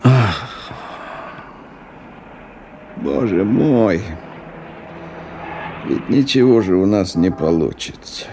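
Weary, deep, gravelly brooding man. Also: animated, warm tone. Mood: despairing. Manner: ruminative, halting, theatrical delivery. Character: weary, disillusioned middle-aged man brooding aloud. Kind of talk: radio drama interior monologue. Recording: 1990 radio recording with background noise.

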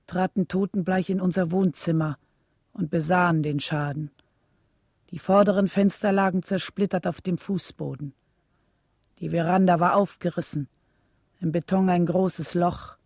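An actress playing a composed young wife and mother, narrating a radio drama in a measured, sober way. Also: on the low side, darkish tone, slightly rough, no room sound, studio quality.

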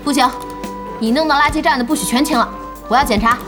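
A woman acting a brash, bossy young internet-cafe boss and game fangirl in an audio drama, blunt, animated and teasing. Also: sits high, quick pace, lightly acted. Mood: indignant. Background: music behind the voice.

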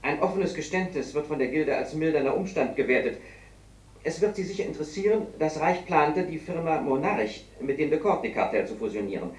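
An actor playing an anxious, cornered conspirator in a science fiction radio drama, agitated and defensive. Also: male voice, a little high, lively intonation, brisk, close to an everyday voice. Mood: desperate, bargaining. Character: cornered, anxious male conspirator bargaining for himself. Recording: echoey room, distant from the mic.

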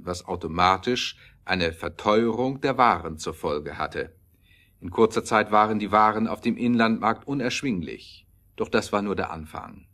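A man's voice: deep voice, a bit bright, exaggerated voice. A man narrating a scripted historical radio play as its storyteller.

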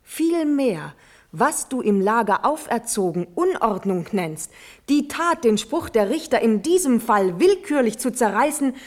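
Slow, solemn young noblewoman, pleading. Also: on the high side, big pitch swings. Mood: urgent, insistent. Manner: solemn, elevated, measured declamation. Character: devoted, brave young noblewoman pleading for her cousin. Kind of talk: classical verse stage drama acting.

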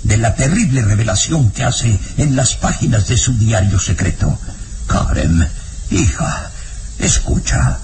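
An actor with a Mexican accent playing a fearful, secretive elderly professor in an old radio serial, halting and breathy. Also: male voice, lowish voice, lively intonation, heavy background noise. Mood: urgent, frightened. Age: older. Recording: old radio serial with loud background music.

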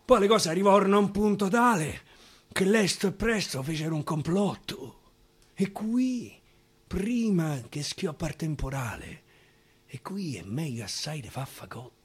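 A man with a Roman accent reciting dialect verse theatrically, swinging from loud declaiming to a hushed whisper. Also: sits high, expressive, moderate pace, husky. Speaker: actor reciting dialect poetry. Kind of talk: theatrical poetry recitation for radio. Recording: live guitar accompaniment.

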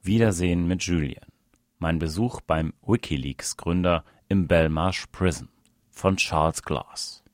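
A man's voice: low voice, measured pace, no echo, studio quality. A man reading a written reportage aloud as scripted narration. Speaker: reader of an audio article.

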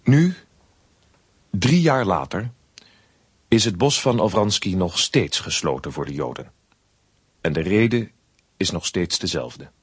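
A man's voice: low-pitched, expressive, unhurried, long pauses, breathy edge, dry recording. A man narrating a radio drama in a scripted storytelling delivery.

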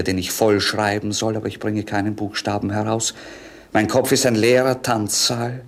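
A man's voice: mid-range voice, lightly acted. A man playing a bored, brooding, self-mocking young prince in a 1950s radio play, declaiming lyrically and theatrically.

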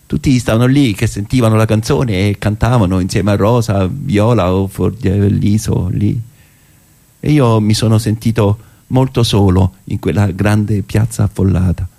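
A middle-aged man with a Roman accent delivers a theatrical monologue in a gravelly, creaky voice. He talks colloquially, as if recounting a memory, hesitating and dropping in wry asides. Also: lowish voice, steady tone, moderate pace, mellow. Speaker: stage monologue performer. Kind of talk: theatrical first-person narrative monologue in colloquial speech.

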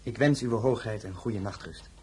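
An actor playing a dutiful, deferential count in a period radio drama, speaking formally. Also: male voice, fairly low pitch, normal speed, warm tone, slight background noise, close to an everyday voice, low-quality recording.

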